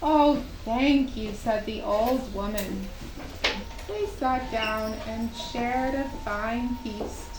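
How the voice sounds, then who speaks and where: A woman telling a scripted children's story in a gentle, deliberate way, as at a puppet show. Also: a little high. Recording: roomy, distant microphone, faint background noise.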